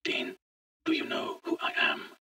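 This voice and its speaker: A man playing an eager, bewildered middle-aged visitor from the future, speaking in a hushed, halting way in a story. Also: dry recording, studio quality, recorded from a distance. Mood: hushed, pleading. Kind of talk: audio short story dialogue.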